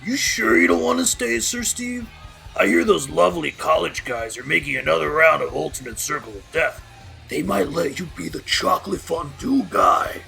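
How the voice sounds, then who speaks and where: Male actor as jovial drunken party host, heavy creak, swooping pitch, mock-grand bro speech, audio drama. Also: lively intonation, normal speed, sharp tone, faint music behind, full character voice. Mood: friendly, concerned. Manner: slurred party-bro talk mixed with mock-archaic flourishes.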